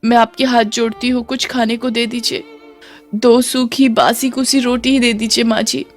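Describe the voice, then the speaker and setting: Lady doing a young indian bahu begging, whimpery high voice, desperate, music under it. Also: little pitch movement, moderate pace, lightly acted. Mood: tearful pleading. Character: desperate, pleading young daughter-in-law, starved and abused.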